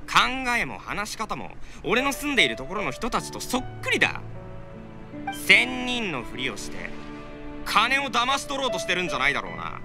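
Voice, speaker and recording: A man voicing a pushy, excitable young pilgrim in a game, theatrical and brash. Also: sits high, normal speed, over music, full character voice. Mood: indignant, suspicious.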